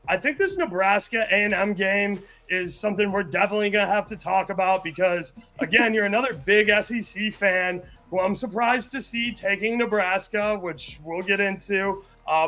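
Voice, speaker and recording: A man hosting a sports podcast, giving steady, opinionated breakdowns in casual talk with frequent fillers. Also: sits high. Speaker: podcast host.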